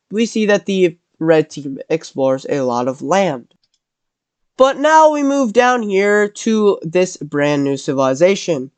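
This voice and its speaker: High-voiced man with a cold. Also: clear, resonant tone, no echo. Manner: rambling, corrects himself mid-sentence. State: has a cold. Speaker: channel host narrating a map scenario. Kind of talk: casual commentary over a map video.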